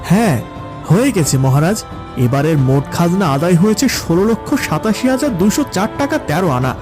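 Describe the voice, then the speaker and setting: A man's voice: sits high, big pitch swings, moderate pace. A man voicing a careful, dutiful royal treasurer in a cartoon, formal and earnest. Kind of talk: cartoon dialogue. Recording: music under the voice.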